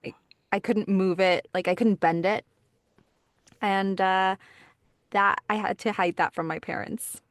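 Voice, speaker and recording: A woman with a mid-range voice tells stories casually as a podcast co-host. Her delivery is informal and a little halting, in a very dry recording. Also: medium pitch, fairly even intonation, no room sound. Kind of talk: casual anecdote telling.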